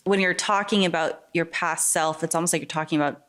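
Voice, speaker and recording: A chatty middle-aged woman from New Hampshire, her voice sitting a little low. She talks in a loose, casual way, with plenty of fillers and self-corrections. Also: steady tone, brisk, fairly bright tone. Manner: chatty, many fillers and restarts. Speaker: interview guest. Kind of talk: casual conversation.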